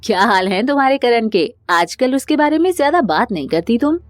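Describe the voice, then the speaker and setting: A woman's voice: on the high side, big pitch swings, a little fast, no room sound, faint music behind. A woman voicing a caring, sensible elder sister-in-law who advises and reassures, in a cartoon family drama. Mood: supportive.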